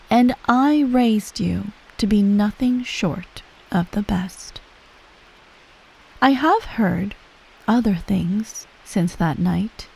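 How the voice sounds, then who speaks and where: Woman playing a breathy, slow-spoken sinister empress mother. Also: medium pitch, full character voice, poor audio. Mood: coolly reassuring. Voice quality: soft, breathy, often near whisper. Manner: measured, formal, veiled threats, breathy sighs. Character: menacing, coolly doting adult empress, adoptive mother. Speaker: ASMR roleplay performer. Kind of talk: soft ASMR bedtime roleplay.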